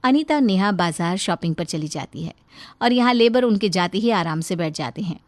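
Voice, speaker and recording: Female storyteller, mid-pitched, scripted narration, dry close sound. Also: lively intonation, normal speed, no echo.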